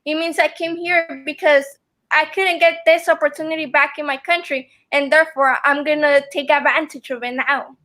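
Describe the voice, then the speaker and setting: A woman's voice: high-pitched, fairly even intonation, pushed and bright. Earnest young woman, Spanish native. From family from El Salvador. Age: young adult. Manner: earnest, halting, with many ums. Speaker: student guest. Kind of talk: earnest video call interview. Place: room at home. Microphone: video call.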